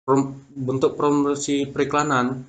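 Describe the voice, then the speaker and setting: A man's voice: mid-range voice, monotone, a little slow. A young man reading a prepared business presentation, stumbling on words and restarting them.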